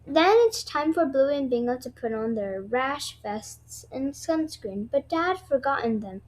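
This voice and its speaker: A woman's voice: sits high, lively intonation, measured pace, a little mellow. A woman reading a children's storybook aloud, sometimes stumbling over her words. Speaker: storybook read-aloud presenter.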